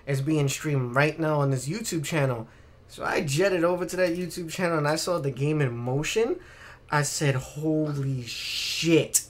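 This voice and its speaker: A man's voice: fairly high pitch, fairly even intonation, unhurried. Slangy man telling gaming stories. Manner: informal, slangy, sweary storyteller. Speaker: podcast co-host. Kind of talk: casual anecdotal gaming chat.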